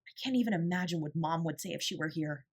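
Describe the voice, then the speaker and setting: A woman's voice: low-pitched, quick pace, a little mellow. A woman playing a fiercely protective older sibling confronting a parent in an audio drama, scolding and emphatic with a sarcastic edge. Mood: stern, commanding. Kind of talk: dramatic audio roleplay acting.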